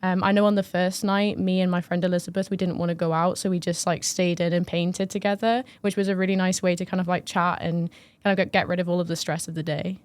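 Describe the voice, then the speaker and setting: A woman's voice: on the low side, little pitch movement, quick pace, no echo. A young woman from northern England, a first-year student talking earnestly with many hedging fillers. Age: young adult. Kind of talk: casual chat.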